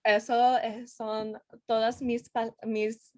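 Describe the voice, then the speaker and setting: A woman's voice: on the high side, flat delivery, slow, no echo. A young woman student interviewing her teacher, speaking with a learner's slips and restarts. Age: young adult. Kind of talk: class interview.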